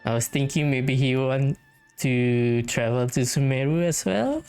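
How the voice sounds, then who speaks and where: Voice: medium pitch, animated, measured pace, a little mellow. A gamer giving casual, hesitant play-through commentary, thinking aloud. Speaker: gamer, let's play host. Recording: game music behind the voice. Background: game music.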